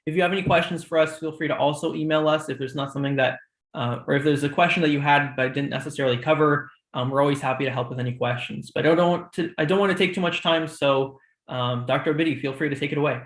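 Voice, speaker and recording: Young man hosting, slightly high voice. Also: fairly even intonation, fast, echoey room. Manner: organized, gives reminders with frequent ums. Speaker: host of a student shadowing program. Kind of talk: hosting a live stream.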